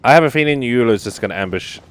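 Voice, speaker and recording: A man's voice: mid-range voice, lively intonation, quick pace, clean tone. A man giving casual let's play commentary as a gaming host, reacting in brief asides.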